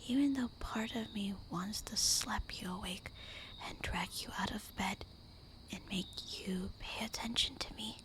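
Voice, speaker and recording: A woman voicing a tender, melancholy immortal vampire wife in a breathy, intimate whispered ASMR roleplay. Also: medium pitch, noisy background, close to an everyday voice. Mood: doting. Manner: slow, intimate, wistful murmuring.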